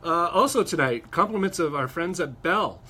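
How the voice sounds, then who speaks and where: Bright-voiced man listing events. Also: fairly high pitch, lively intonation, normal speed, husky. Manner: friendly, informative, slight laughs and fillers. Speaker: co-host of a music news show. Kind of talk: casual chat, event listings.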